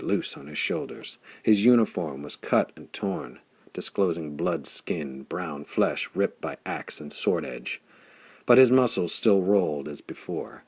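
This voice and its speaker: A man narrating a pulp adventure audiobook in a measured, theatrical storytelling style. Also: low-pitched, vocal fry, husky, dry recording.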